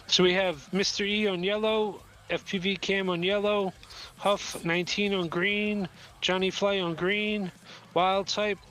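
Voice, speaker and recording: A man hosting an online drone race, calling out pilots and teams in an unhurried way. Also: sits high, level tone, bright, forceful tone. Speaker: race director and host.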